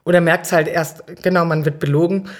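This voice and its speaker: Lowish-voiced woman, earnestly explaining. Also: steady tone, fairly quick. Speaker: podcast guest, city councillor. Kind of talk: reflective casual discussion.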